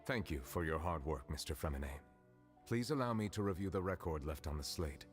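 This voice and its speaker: An actor playing a composed, scholarly gentleman in a video game, speaking formally, politely and in a measured way. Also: very deep voice, lively intonation, soft background music.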